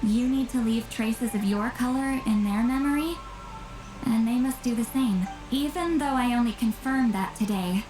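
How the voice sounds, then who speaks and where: A woman acts as an aloof, ageless shaman woman from a fantasy game. Her high voice explains things with dry, wistful reserve. It rings in a roomy echo with game music clearly behind it. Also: normal speed, darkish tone, lightly acted. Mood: guarded, reflective. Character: aloof, reclusive two-hundred-year-old shaman woman with youthful looks. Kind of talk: scripted video game voice acting.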